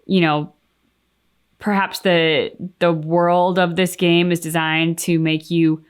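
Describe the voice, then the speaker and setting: Lower-pitched woman chatting reflectively. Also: little pitch movement, takes it slowly, clear, resonant tone. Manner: reflective, hedging with likes and you knows. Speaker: co-host of game reaction channel. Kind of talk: casual discussion, giving opinions.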